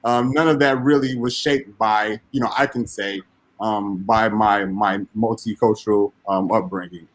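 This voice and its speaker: A man on a panel discussion, speaking haltingly with many "you know"s and repeats. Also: fairly low pitch, fairly even intonation, poor audio. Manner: halting, lots of fillers and repeated words. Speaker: panel guest. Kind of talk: casual discussion.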